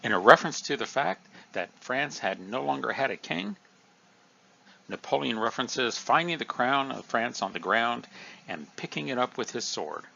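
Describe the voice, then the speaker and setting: Lively, bright-voiced male history teacher. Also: mid-range voice, moderate pace, creaky, lo-fi sound. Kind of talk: recorded review lesson.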